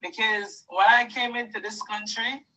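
A woman from Guyana giving a halting, emotional testimony to her church. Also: fairly high pitch, steady tone, sharp tone, recorded from a distance, rough recording. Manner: hesitant, halting, with fillers.

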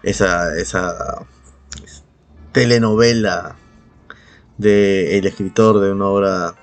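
Irreverent man, lower-pitched, music behind. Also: fairly even intonation, slow. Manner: irreverent, profane. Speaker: podcast host. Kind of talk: casual, humorous chat.

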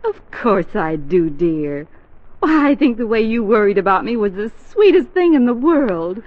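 A woman's voice: medium pitch, sing-song, normal speed, no echo, lightly acted. A woman playing a doting, soothing middle-aged mother in 1940s radio comedy style. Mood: tender, reassuring. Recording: dull, muffled 1940s radio recording.

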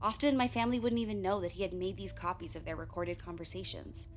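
A woman's voice: on the low side, fairly even intonation, quick pace, slight background noise, natural voice. A woman playing a candid, wry, uneasy young filmmaker who narrates a radio play in a confiding way, with tangents and swearing. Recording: music behind the voice.

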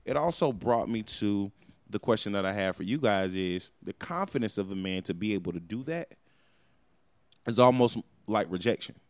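Swearing, animated male podcast host. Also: fairly low pitch, moderate pace, no room sound. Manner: animated storytelling, swears freely. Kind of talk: casual chat.

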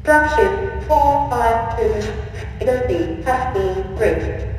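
A woman voicing an impassive ship computer that makes formal, measured emergency announcements in a sci-fi audio drama. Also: a little high, animated, faint music behind. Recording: distant, cavernous reverberant public-address sound.

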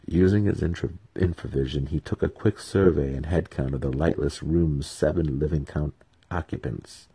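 A man giving a dramatic scripted narration of a fantasy audiobook in a husky voice. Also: a bass voice, dark tone.

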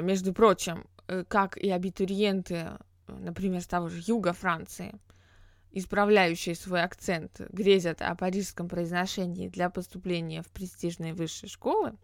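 A woman's voice: on the low side, creaky, no room sound, clean studio sound. Woman hosting a reflective podcast monologue. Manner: explanatory, reflective, with frequent hesitation sounds. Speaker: podcast host.

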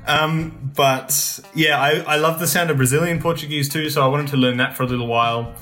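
A young man telling stories in a casual interview, relaxed and rambling, with lots of ums and restarts. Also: a little high, brisk, soft background music. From Australia. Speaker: guest.

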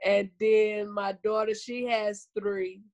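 Southern lady comic in her 50s, medium pitch, folksy easygoing interview chat. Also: level tone, takes it slowly, darkish tone, highs cut off. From Arkansas, United States. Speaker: guest, comedian.

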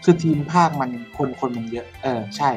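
A man's voice: mid-range voice, brisk, darkish tone, faint music behind. A man on a podcast team, bantering casually in a blunt, informal, exclaiming way.

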